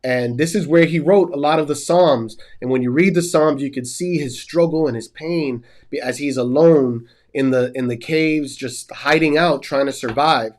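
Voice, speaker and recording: A man's voice: on the high side, normal speed, hardly any pauses, darkish tone. Stuffy-nosed man leading a Bible talk. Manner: earnest storytelling, retelling scripture background. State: stuffy and nasal from a cold. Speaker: host.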